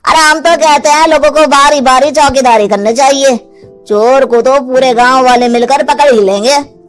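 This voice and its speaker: A puzzled village gossip, acted by a woman in a storybook tale. The voice is high, concerned and conversational, with faint music behind. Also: moderate pace, close to an everyday voice.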